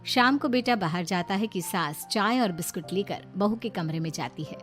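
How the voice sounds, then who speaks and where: Storybook narrator, a woman with an ordinary mid voice, soft music underneath. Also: medium pitch, moderate pace. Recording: faint background music.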